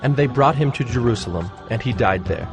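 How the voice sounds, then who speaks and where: A man narrating scripture from a script in a measured, formal manner. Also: medium pitch, expressive. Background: music.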